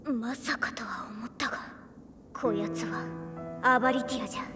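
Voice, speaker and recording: A woman's voice: a little high, moderate pace, lightly acted. A woman voicing a small, feisty anime game girl who talks in the haughty, archaic manner of an old elder. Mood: grave. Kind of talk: Japanese anime game voice acting. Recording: echoey, reverberant, music underneath.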